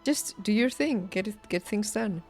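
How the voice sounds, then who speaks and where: A woman's voice: mid-range voice, animated, normal speed, a little mellow. A woman game streamer chatting casually and reassuringly, in a conversational way. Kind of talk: casual livestream chat. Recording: game music playing behind the voice. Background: video game music.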